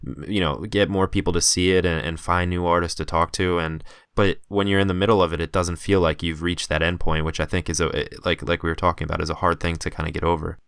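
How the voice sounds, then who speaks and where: A man's voice: low-pitched, little pitch movement, quick pace, a bit bright, clear, resonant tone, dry recording, clean studio sound. A man in his thirties hosting a podcast, talking in an earnest, encouraging way. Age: 30s. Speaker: podcast host. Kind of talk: casual podcast interview.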